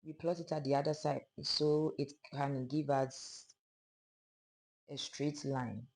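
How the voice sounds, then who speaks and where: A sewing instructor with a hoarse, fading voice, calmly narrating a pattern-drafting tutorial step by step. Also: mid-range voice, slow pace. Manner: calm, step-by-step explaining of measurements. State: hoarse, losing voice.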